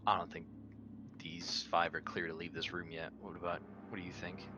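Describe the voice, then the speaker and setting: Deep voice guy playing an rpg, casual, noisy rumbly mic. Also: flat delivery, normal speed, muffled recording. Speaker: player. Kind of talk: casual tabletop roleplay chat.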